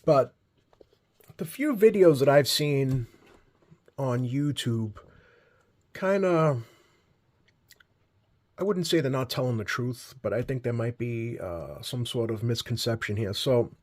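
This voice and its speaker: A man hosting a hobby video with casual, unscripted commentary, talking in a halting, rambling way and often correcting himself. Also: medium pitch, expressive, darkish tone. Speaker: host of a radio hobby video.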